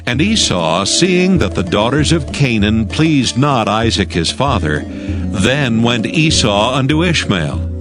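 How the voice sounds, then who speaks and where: A man's voice: mid-range voice, big pitch swings, slow pace. A man narrating scripture formally as a storyteller from a script. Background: music.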